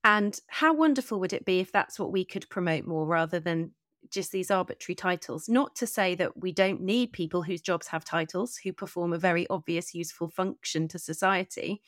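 A woman's voice: fairly low pitch, normal speed, no room sound. Earnest woman interviewer, dry close sound. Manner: articulate, earnest, builds long considered sentences. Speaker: podcast host and interviewer. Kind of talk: conversational interview.

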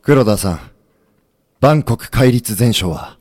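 An actor playing a defeated, weary middle-aged commander who speaks quietly and with resignation. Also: male voice, medium pitch, expressive, slow pace, no echo, clean studio sound. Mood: quiet, humble. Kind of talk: audio drama acting.

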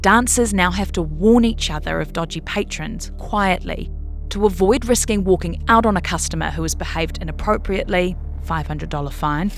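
A woman's voice: on the low side, normal speed, few pauses, soft background music. A woman reading a scripted long-form news feature as narrator.

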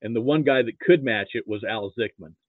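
An American man, middle-aged or older, reminiscing casually as an interview guest in a halting way, often repeating words. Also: medium pitch, dry recording. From Nebraska, United States. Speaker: interview guest, football archivist. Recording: thin phone-like line.

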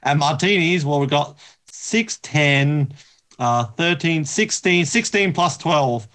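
A man with a slightly high voice talks as a podcast host. His delivery is casual and conversational, like someone discussing books with friends. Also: lively intonation, slow pace, fairly bright tone. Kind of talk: casual chat about books.

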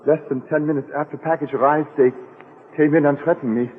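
A man's voice: fairly high pitch, steady tone, slight background noise, lightly acted. Man acting an anxious diamond cutter, echoing old radio. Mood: anxious, confiding. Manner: earnest, nervous, halting broken English. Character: anxious European immigrant diamond cutter, male, pleading. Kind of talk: 1940s radio adventure acting. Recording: reverberant, muffled old radio recording.

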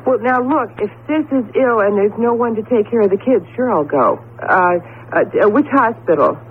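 A woman playing a professor's affectionate, brisk wife in a 1970s radio drama, with an expressive manner. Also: a little high, close to an everyday voice. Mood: concerned. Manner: playful scolding, expressive, affectionate. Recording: tinny old radio with background noise.

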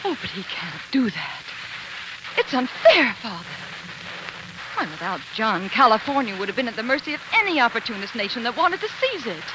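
An actress playing a spirited, fiercely loyal colonel's wife and devoted daughter in an impassioned, theatrical 1940s radio drama style. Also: female voice, fairly high pitch, sing-song, moderate pace, noisy background. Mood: indignant. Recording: 1940s radio broadcast with music.

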